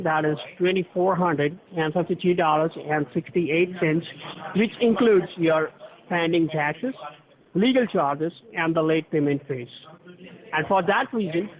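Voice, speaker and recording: A man with a fairly high voice speaks through a narrow, tinny phone line. He sounds formal and officious, working through a script of procedures and instructions like an official. Busy chatter from other people fills the background. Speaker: phone scam caller posing as a tax officer. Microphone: telephone.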